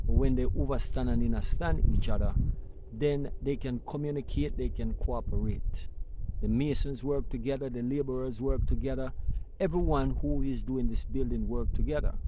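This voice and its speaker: A man's voice: mid-range voice, mellow, slight background noise, low-quality recording. A man, hosting a talk show and preaching about unity with repetition and rising emphasis. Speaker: host. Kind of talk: impassioned community talk.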